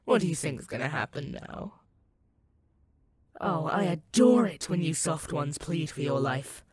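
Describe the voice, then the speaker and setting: A woman's voice: low voice, lively intonation, a loud crowd. A woman playing an ancient, sadistic and possessive female werewolf demon in a roleplay audio, purring menace and mocking pet names at the listener. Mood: taunting, amused. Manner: slow, teasing, purring menace with mocking endearments.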